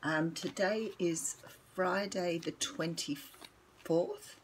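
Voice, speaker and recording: An older Australian woman with a croaky morning voice, chatting casually and rambling through a tarot reading at home with lots of ums. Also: lowish voice, very slow, a little hiss or hum. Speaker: tarot reader.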